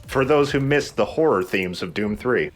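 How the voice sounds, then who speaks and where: A man's voice: mid-range voice, lively intonation, moderate pace. A man hosting a let's play, giving casual, joking commentary on a video game. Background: game music.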